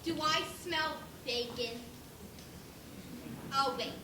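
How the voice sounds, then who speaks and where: A woman's voice: sits high, drawn-out words. A young woman playing a goofy, naive pig juror in an amateur stage comedy, playful and simple-minded. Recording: distant, echoey, noisy stage recording.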